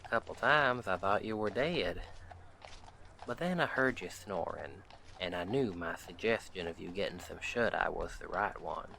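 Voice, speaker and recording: A man playing a friendly, protective Old West sheriff in a roleplay audio drama, talking to the listener in folksy, unhurried western phrasing. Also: low-pitched, animated, vocal fry, pushed and bright, slight background noise, exaggerated voice. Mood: easygoing.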